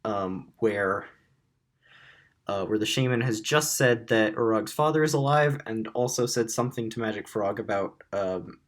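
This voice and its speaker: A raspy-voiced man running a tabletop game, rambling through narration with many fillers. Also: lowish voice, moderate pace. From Wyoming. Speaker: game master.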